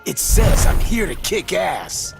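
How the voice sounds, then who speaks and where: Cocky young fire hero, lively and higher-pitched. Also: male voice, slow, gravelly, full character voice. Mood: defiant. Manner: brash, boyish, bantering. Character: cocky, eager young male fire-powered superhero. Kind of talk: video game character dialogue. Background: loud music.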